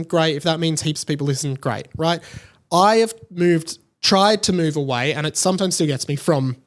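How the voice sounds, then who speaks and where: Male podcast host, slightly high-pitched, conversational and rambling monologue style. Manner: rambling, conversational, often tags points with "right?". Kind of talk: casual solo podcast monologue.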